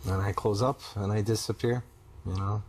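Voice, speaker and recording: A man answering as a client in a therapy session, in terse, halting phrases. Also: lowish voice, steady tone, a little mellow. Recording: faint background noise.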